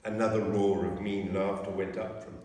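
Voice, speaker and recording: A man reading a children's story aloud with dramatic, measured narration and deliberate pauses. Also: on the low side, little pitch movement, darkish tone. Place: inside a church, distant microphone. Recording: very echoey church, far from mic.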